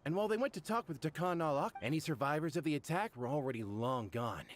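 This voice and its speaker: A man voicing an excitable, rough mercenary in a game, talking with quick indignant outbursts. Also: fairly high pitch, slight background noise, close to an everyday voice. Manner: exclaiming, indignant.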